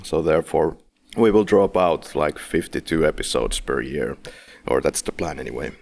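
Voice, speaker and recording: A man's voice: extremely low pitch, expressive, normal speed, vocal fry, a little mellow. A man hosting a casual film podcast, making playful, jokey introductions. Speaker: podcast host.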